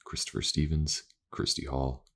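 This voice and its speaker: A man's voice: very deep voice, little pitch movement, moderate pace. A man speaking as a channel host, giving a chatty, rambling, casual outro to his listeners.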